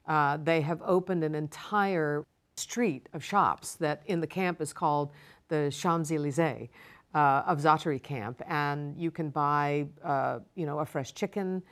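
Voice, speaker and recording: A woman with a fairly low voice gives long, measured answers in an interview. She explains things step by step and often pauses on "uh" to gather her thoughts. She sounds middle-aged. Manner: measured, explanatory, frequent "uh" fillers. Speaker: foreign correspondent guest.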